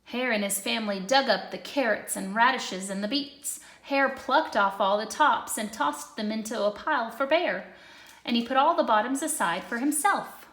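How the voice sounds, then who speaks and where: A woman narrating a children's storybook in an expressive read-aloud style. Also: a little high, normal speed. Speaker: storybook reader. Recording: echoey room.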